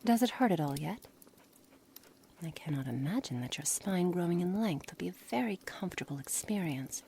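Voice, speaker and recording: A woman playing a cold, curious, menacing witch in an ASMR roleplay, speaking formally and condescendingly, often dropping into breathy near-whispers. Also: on the low side, expressive, normal speed, dark tone. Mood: clinical fascination. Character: cold, menacing, curious witch experimenting on her captive pet. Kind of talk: ASMR roleplay, scripted dramatic monologue. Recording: noisy background ambience.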